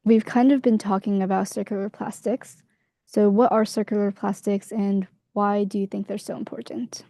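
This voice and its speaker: A woman's voice: mid-range voice, level tone, normal speed, vocal fry, dark tone, dry recording. A young adult woman hosting an interview, asking scripted questions.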